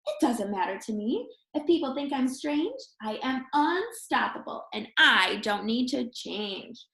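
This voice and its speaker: A woman's voice: high-pitched, sing-song, unhurried, gravelly, bright, forceful tone. A woman reading a children's picture book aloud expressively, like a teacher, with encouraging asides spoken straight to young listeners. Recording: roomy, echoey sound.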